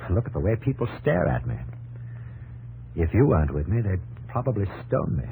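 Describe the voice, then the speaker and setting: An actor playing a confident but guarded, homesick young English engineer with polite, clipped answers in a 1950s radio drama. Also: male voice, lowish voice, big pitch swings, moderate pace, creaky, narrow, tinny audio. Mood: uneasy. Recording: 1950s radio, faint noise and hum.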